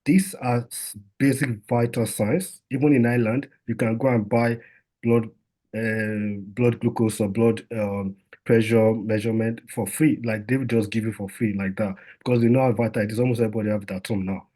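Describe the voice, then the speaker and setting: A man's voice: fairly low pitch, steady tone, moderate pace, mellow. A man, a doctor, giving an informal health talk in a conversational way, with lots of fillers and restarts.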